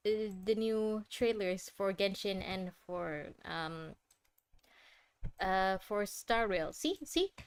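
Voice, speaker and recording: A woman hosting a reaction video, chatting casually in an expressive, exclamatory way. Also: mid-range voice, clean tone. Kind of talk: casual excited live reaction.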